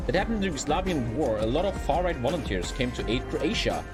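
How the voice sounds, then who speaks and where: A man's voice: mid-range voice, very animated, fast. A man with a German accent chatting casually as a live streamer, rambling, blunt and heavily sweary. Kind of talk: casual livestream chat over gameplay. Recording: loud music behind the voice. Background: loud music.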